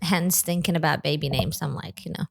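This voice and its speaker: A woman's voice: low voice, fairly quick, vocal fry. Giggly pregnant woman from Lithuania. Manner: warm, giggly, trails off with fillers. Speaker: podcast guest. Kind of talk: casual chat. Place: indoor podcast set with plants.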